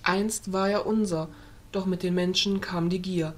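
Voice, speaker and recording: A woman's voice: lowish voice, steady tone. A woman playing a composed, authoritative fairy leader who speaks formally in an amateur audio drama. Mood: composed, solemn. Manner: formal, measured.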